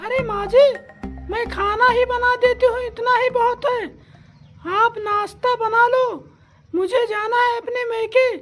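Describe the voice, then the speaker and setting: A woman's voice: very high-pitched, level tone, unhurried. Woman as a defiant young daughter-in-law. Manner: defiant, argumentative. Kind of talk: comic family sketch acting. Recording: loud backing music.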